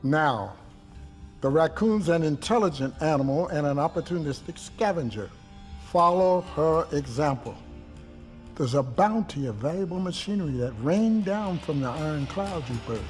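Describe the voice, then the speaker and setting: A man's voice: a little high, lively intonation, moderate pace, soft background music, close to an everyday voice. An actor playing a wordy, fatherly resistance leader in a video game, with florid, folksy old-fashioned phrasing. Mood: wry, instructive. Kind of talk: video game cutscene acting.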